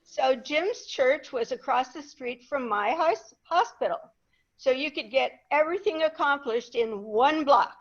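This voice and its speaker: A woman's voice: sits high, lively intonation, measured pace, muffled recording. An older woman raised in Peru telling humorous, chatty stories about her life to a live audience. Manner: humorous, chatty, self-deprecating. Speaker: guest speaker. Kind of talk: anecdotal storytelling to a live audience.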